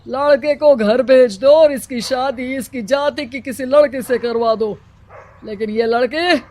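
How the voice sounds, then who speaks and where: A bossy cartoon village headman who barks out orders in a very high, slow, scornful voice, performed by a voice actor. He sounds domineering and stern. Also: a little mellow. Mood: commanding. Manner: commanding and scornful. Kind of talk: cartoon story voice acting.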